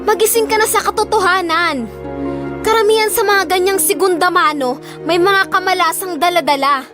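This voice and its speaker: A woman's voice: very high-pitched, moderate pace, music in the background. An actress playing a bitter, confrontational jilted woman in an audio drama. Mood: bitter, warning. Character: bitter, accusing older cousin, a jilted single mother.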